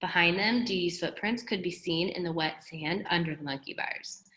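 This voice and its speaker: A woman reading a children's story aloud in an animated, expressive storyteller's way. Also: fairly low pitch, normal speed. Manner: animated, acts out dialogue. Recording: echoey room.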